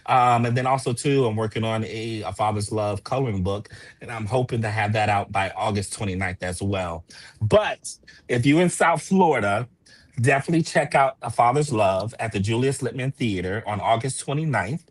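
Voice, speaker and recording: A man's voice: on the low side, normal speed, no echo. A man who produces stage plays, speaking casually as an interview guest to promote his work.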